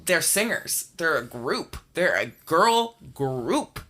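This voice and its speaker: A woman co-hosting a podcast in casual chat, animated and giggly with a cackling laugh. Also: sits low, takes it slowly. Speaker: podcast co-host.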